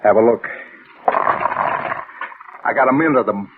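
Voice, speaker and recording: An actor playing a brisk, skeptical theater boss with a husky voice and colloquial delivery in a 1960s radio drama. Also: male voice, medium pitch, a little hiss or hum, close to an everyday voice. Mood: amused, dismissive. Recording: muffled old radio recording.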